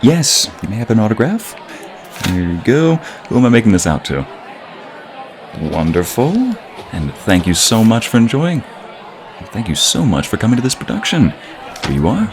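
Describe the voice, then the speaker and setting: Man acting a deep-voiced, smitten, nervous violinist. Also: very animated, normal speed, long reverb tail, crowd noise, noisy background. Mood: gracious, shy. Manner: hesitant, breathy asides and sighs, stammering. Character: nervous, smitten, gentle romantic male concert violinist. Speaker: ASMR roleplay voice actor. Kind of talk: intimate ASMR romantic roleplay acting.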